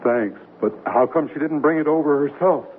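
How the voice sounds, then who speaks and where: A man's voice: on the high side, normal speed, lightly acted. An actor playing a wry, put-upon, proud businessman with a dry, sardonic delivery in a 1970s radio comedy. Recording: tinny, very noisy 1979 radio broadcast.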